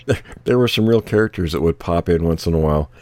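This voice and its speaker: A man with a very deep, dark voice talks casually on a podcast, hoarse because he is losing his voice. He often stops to clear his throat and wanders through his points. The sound is close and dry. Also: fast, no echo. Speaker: podcast co-host. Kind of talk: casual chat.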